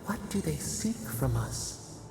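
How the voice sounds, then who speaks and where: An ancient game spirit broods in cryptic fragments, drawn out extremely slowly. The voice is heavily gravelled and creaky, solemn and otherworldly. It rings in a long, cavernous reverb over music. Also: mid-range voice, sing-song, background noise, exaggerated voice. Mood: brooding, solemn. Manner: slow, halting, cryptic short phrases. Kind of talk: solemn video game narration.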